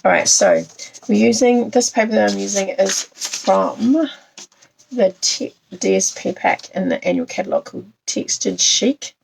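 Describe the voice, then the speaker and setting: A New woman with a croaky voice from a cold, chatting as she demonstrates a papercraft card on a live stream and greets viewers by name. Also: medium pitch, sing-song, unhurried, slight background noise, poor audio. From New Zealand.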